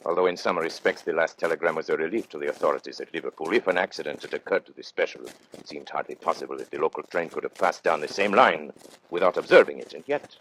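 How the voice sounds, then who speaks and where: An actor narrating a radio mystery as a grave storyteller, formal and measured. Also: male voice, sits low, a bit bright, slight background noise, low-quality recording.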